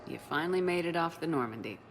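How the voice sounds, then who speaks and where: A woman playing a composed, resolute military commander in a video game, direct, steady and supportive. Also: deep voice, fast talker, background noise. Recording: reverberant hall, music underneath.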